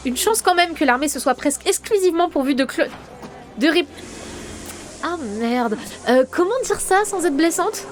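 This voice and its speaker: A woman's voice: very high-pitched, animated, moderate pace, a little hiss or hum. A woman playing a sarcastic army sergeant in a comic sci-fi audio drama, wry and colloquial.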